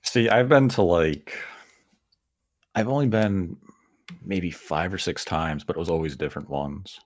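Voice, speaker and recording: A man with a very deep voice co-hosts a podcast and reads viewer comments aloud. He speaks softly and casually. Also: a little slow, dry recording. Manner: soft-spoken, reads chat aloud. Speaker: podcast co-host. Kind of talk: casual chat.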